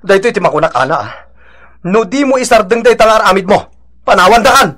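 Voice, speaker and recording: Exasperated husband with lively pitch. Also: male voice, fast talker. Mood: exasperated, stern. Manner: scolding, emphatic. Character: exasperated husband reproaching his gossiping wife. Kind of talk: Ilocano radio drama acting.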